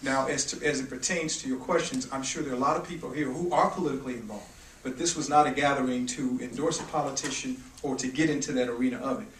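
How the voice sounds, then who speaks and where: A man moderating a press conference and stating its purpose in a measured, emphatic way. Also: mid-range voice. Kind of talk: public statement. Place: press club conference room. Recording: echoey room, distant mic, faint noise.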